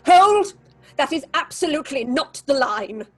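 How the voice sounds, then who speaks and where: A woman playing a highly strung, superstitious theatre director in an audio horror drama, imperious and flustered. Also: sing-song, measured pace, faint music behind. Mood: agitated, accusing.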